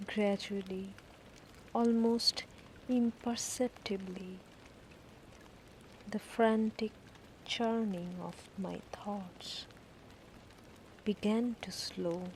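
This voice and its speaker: Very slow female sleep meditation narrator. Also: mid-range voice, warm tone, a little hiss or hum, low-quality recording. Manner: slow, deliberate phrases with long pauses. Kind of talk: guided sleep meditation, first-person scripted story. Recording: rain ambience behind the voice. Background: falling rain.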